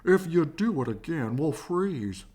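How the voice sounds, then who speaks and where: A woman voicing a timid storybook fish with earnest, drawn-out pleading. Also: deep voice, sing-song, dark tone, voice pushed up.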